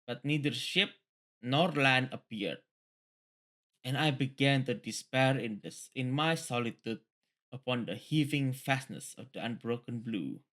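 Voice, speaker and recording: Male, very bright forceful voice, read-along host, casual, repetitive. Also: mid-range voice, expressive, a little slow. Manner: rambling, repeats phrases. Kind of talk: book reading mixed with casual commentary.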